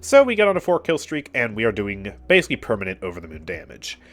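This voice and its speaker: A man's voice: lowish voice, huge pitch swings, quick pace. A man streaming a game from his room, chatting in a casual, upbeat way and laughing often, sounding stuffy and a little nasal from a cold. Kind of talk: casual live gameplay commentary. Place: his own room at home.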